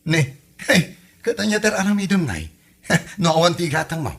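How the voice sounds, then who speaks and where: A man's voice: on the high side, sing-song, moderate pace, vocal fry, full character voice. A man playing a nagging villager in an Ilocano comedy drama, scolding and questioning, with an Ilocano accent.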